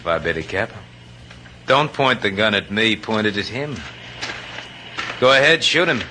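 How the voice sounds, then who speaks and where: An actor playing a smug, murderous college-educated villain who talks with mocking politeness in an old-time radio drama. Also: male voice, on the low side, animated, moderate pace, sharp tone. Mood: cold threatening. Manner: mocking, drawling politeness with menace. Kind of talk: 1940s-50s radio drama acting. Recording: noisy old radio broadcast.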